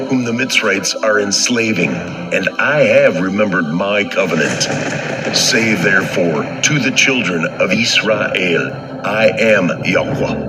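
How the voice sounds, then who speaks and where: A man's voice: sits low, rough recording. A man voicing God as a solemn, commanding deity in a scripture audio drama. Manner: solemn, declarative. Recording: music under the voice.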